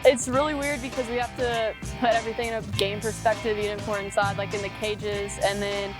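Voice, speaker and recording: A teenage girl who pitches for her softball team talks in an interview. Her voice stays level and casual over a guitar music bed. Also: little pitch movement, a little fast. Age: teens. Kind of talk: interview answers.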